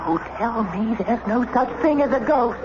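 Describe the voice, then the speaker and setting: An actress playing a clever, persistent diplomat's wife, coaxing and teasing in an old radio drama. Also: female voice, fairly high pitch, lively intonation, moderate pace, close to an everyday voice. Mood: insistent, teasing. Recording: muffled, noisy old radio broadcast.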